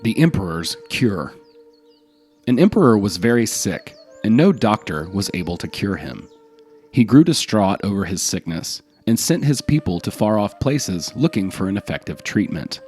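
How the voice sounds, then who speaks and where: A man reads folk tales in a fairly low voice with very animated intonation. It is scripted storytelling, set over faint background music. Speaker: narrator, storyteller. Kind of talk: scripted folk tale narration.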